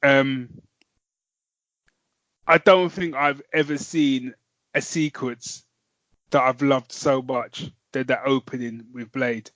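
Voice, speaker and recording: A man's voice: medium pitch, steady tone, takes it slowly, long pauses, fairly bright tone, no room sound, rough recording. A man with a British accent co-hosting a podcast, chatting casually and teasing his co-host with frequent laughs. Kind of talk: casual chat.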